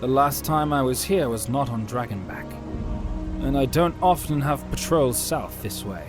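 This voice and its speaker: A man's voice: medium pitch, animated, normal speed, lightly acted. Guy doing a noble earnest warrior, formal talk, mid voice, fantasy audiobook with music. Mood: calm. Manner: sincere, formal.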